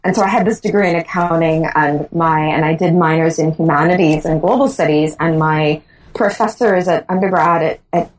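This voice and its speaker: Woman from the Bahamas, low pitch, reflective interview answers, frequent fillers. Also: steady tone, normal speed, low-quality recording. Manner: thoughtful, many restarts and fillers. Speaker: guest author.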